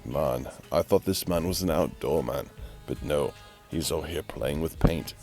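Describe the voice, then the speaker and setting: Man putting on a deep, grandiose announcer. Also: darkish tone, soft background music. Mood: bored, exasperated. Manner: grandiose, drawn-out, musing aloud, mock-dramatic. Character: Chaz Leroy, flamboyant, theatrical male announcer, grandly teasing. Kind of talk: mock play-by-play announcing.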